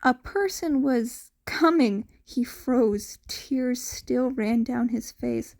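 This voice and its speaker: A woman's voice: a little high, slow pace, a little mellow. A woman narrating a piece of fiction from a script, as an audiobook-style reader. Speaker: podfic narrator.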